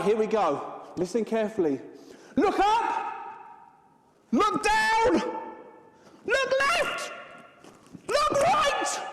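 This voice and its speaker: Woman playing a wildly animated, excitable adventurer for kids. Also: sits high. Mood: encouraging, excited. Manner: exaggerated, playful, addresses children directly. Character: excitable, scatty, friendly adventurer setting off by hot air balloon. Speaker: children's show presenter. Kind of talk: interactive children's stage storytelling. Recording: echoey hall with long reverb.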